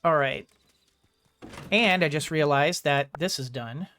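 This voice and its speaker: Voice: mid-range voice, slow pace, fairly bright tone. A game streamer giving casual commentary, rambling and thinking aloud while playing.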